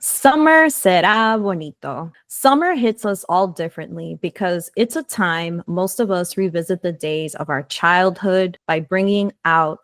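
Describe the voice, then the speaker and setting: A woman reading a scripted, reflective podcast segment in a soft, calm way. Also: on the low side, measured pace, few pauses, no room sound.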